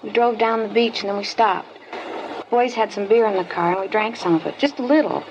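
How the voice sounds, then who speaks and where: A woman's voice: medium pitch, lightly acted. Woman playing a guarded teenage schoolgirl. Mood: recounting. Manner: guarded, halting, evasive answers. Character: wary 15-year-old schoolgirl informant, guarded. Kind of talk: 1950s radio drama acting. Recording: dull lo-fi old radio recording, clear hiss.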